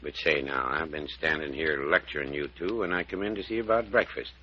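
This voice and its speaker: An actor playing a folksy, good-humored older country doctor in old-time radio drama, with drawling rural turns of phrase. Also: male voice, extremely low pitch, a little fast. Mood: kindly, folksy. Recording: dull, band-limited old radio recording.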